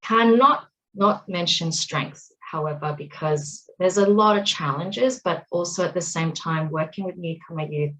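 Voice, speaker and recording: Low-voiced woman presenting earnestly. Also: fairly bright tone, rough recording. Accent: Canadian. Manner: earnest, measured, with many fillers. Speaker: workshop facilitator. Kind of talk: online presentation.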